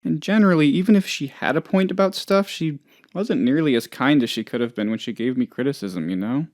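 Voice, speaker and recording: A man's voice: on the high side, brisk, darkish tone, no room sound, clean studio sound, close to an everyday voice. A man playing a hapless, trusting, lonely oddball in a video game, talking in a rambling, hesitant, good-natured way. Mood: hesitant, wounded. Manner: rambling, hesitant, naive, chatty. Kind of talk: video game character dialogue.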